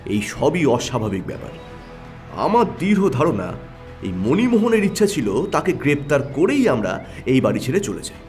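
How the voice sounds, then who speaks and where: Man playing confident detective, animated intonation, emphatic questioning, audio mystery drama, faint music behind. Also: mid-range voice, normal speed, natural voice. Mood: insistent. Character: keen, confident male detective reasoning through a mystery.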